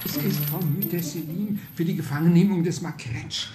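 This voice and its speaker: A man's voice: high voice, normal speed, mellow, natural voice. An actor playing an indignant but respectful servant reporting to his master in a radio drama.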